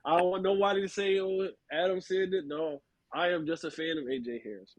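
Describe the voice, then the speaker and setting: A man's voice: sits high, fairly even intonation, brisk, a crowd in the background, poor audio. An American man chatting casually as a sports panelist, slangy and enthusiastic like a devoted fan. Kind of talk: casual chat about football prospects.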